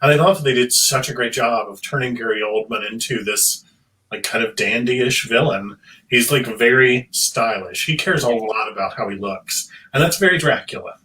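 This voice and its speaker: A man with a bright, forward voice and middling pitch talks as a panelist in a casual discussion. He is articulate and opinionated, leaning into his points with emphasis. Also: moderate pace, rough recording.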